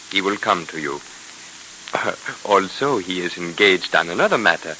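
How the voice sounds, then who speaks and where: A man's voice: fairly low pitch, sharp tone, poor audio. An actor in a 1930s radio serial playing a humble, devout Egyptian man with courtly, effusive phrasing. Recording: hissy old 1930s radio recording.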